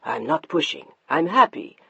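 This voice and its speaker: A narrator voicing a middle-aged husband who speaks low, calm and unyielding, in a slightly muffled dry recording. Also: male voice, normal speed, creaky, husky, no echo, heavily acted. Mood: steely calm. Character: stern controlling husband, middle-aged, quiet and steely.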